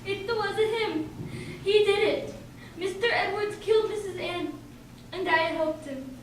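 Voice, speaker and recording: A woman's voice: very high-pitched, a little slow. A woman acting as a frightened, guilt-ridden female accomplice in an amateur radio drama. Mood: frightened, pleading. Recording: very echoey hall, distant mic, faint noise.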